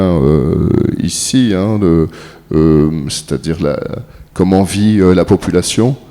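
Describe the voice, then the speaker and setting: A man's voice: deep voice, warm tone. A man, a filmmaker, answering an audience question at a conference with many hesitations and fillers. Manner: slow and halting, many pauses and fillers. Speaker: filmmaker on a panel. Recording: echoey hall.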